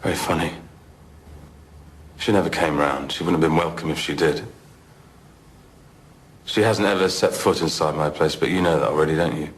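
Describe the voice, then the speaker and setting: Low-voiced, bitter British suspect. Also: male voice, normal speed, long pauses. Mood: bitter, sarcastic. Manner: sarcastic, defiant. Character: bitter, defensive divorced father under questioning. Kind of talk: naturalistic TV police drama acting.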